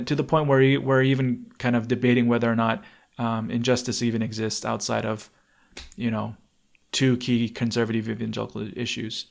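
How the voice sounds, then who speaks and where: A man co-hosting a podcast, speaking in a reflective, measured way as he feels for his words. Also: mid-range voice, vocal fry. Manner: hesitant, thoughtful, measured. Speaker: podcast co-host.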